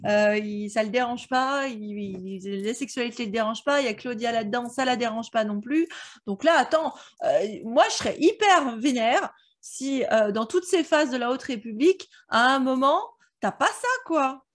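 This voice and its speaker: A woman's voice: a little high, moderate pace. A woman chatting casually as a book club co-host, using informal, slangy speech. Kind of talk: casual chat, fan discussion.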